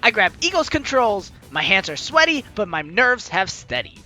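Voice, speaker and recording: A woman hosting a let's play, chatty and excitable, reading game narration aloud with drama and commenting as she goes. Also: mid-range voice, normal speed. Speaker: gaming video host. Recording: game music behind the voice. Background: game music.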